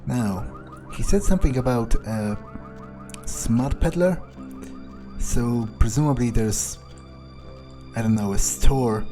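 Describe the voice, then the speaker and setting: A man's voice: mid-range voice, takes it slowly, pauses often, warm tone, close to an everyday voice. A man voicing a cynical, self-serving vampire newcomer in an in-character game playthrough, musing aloud in a dryly sardonic way. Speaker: gameplay video creator. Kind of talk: in-character game roleplay narration. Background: music.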